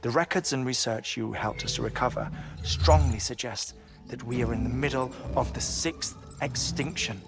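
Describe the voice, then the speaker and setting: A man voices an earnest scientist in a video game, laying out theory in a precise, scholarly way. His pitch sits in the middle, with a game score playing clearly behind him. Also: music in the background, close to an everyday voice. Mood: explaining. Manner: measured, scholarly, precise, lecturing. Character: brainy, earnest male scientist lecturing on theory. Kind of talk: video game cutscene acting.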